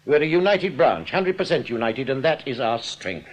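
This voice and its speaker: An English actor playing a suave, scheming bank manager in a radio drama, wordy and full of oily, theatrical politeness. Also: male voice, mid-range voice, big pitch swings, moderate pace. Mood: smugly menacing. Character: suave, smug, scheming male bank manager, mock-gracious crook. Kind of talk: British radio drama acting.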